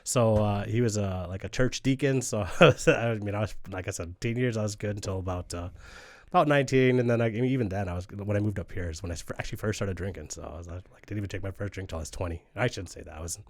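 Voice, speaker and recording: A man in his forties, a guest in a conversation, telling relaxed rambling stories and laughing often. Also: fairly low pitch, fast, no echo, clean studio sound. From United States.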